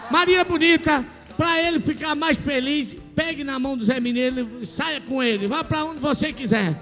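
Middle-aged Brazilian male stage host, very high voice, boisterous teasing banter, reverberant studio with faint music. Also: moderate pace, sharp tone. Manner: teasing, boisterous, repeats himself. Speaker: TV variety show host. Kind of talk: playful on-stage interview banter. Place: large indoor TV studio stage. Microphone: handheld microphone. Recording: echoey TV studio hall, music.

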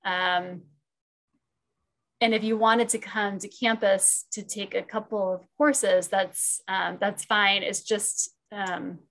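A woman in her forties answers student questions as program chair over a video call with wired earbuds. Her voice has a gravelly fry, and her pitch sits mid-range. She hesitates often and restarts sentences as she thinks. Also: fairly even intonation, takes it slowly, a bit bright. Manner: hesitant, many fillers and restarts, thinks aloud. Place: room at home.

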